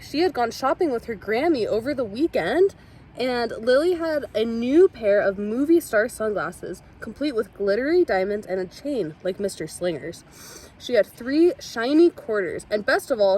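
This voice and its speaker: Woman reading a kids' picture book aloud, expressive, fairly high voice, faint noise in the background. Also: rough recording. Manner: expressive storytelling. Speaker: storybook reader. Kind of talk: children's read-aloud.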